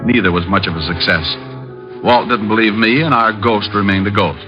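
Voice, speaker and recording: Guy as wisecracking detective, wry low-ish voice, old radio show with music behind. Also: a little fast, dull audio, close to an everyday voice. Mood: narrating. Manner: breezy, sardonic. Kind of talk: 1940s radio detective acting and narration.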